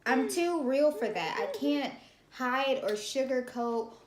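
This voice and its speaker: A young woman with a high-pitched voice, co-hosting a casual chat with her mother, frank and self-assured. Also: lively intonation, takes it slowly, a crowd in the background. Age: young adult. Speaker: co-host, daughter. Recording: echoey room.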